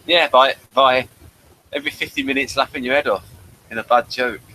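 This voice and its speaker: Bright, forceful man hosting a chat. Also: medium pitch, steady tone, brisk, a little hiss or hum, poor audio. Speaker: podcast host. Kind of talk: casual chat review.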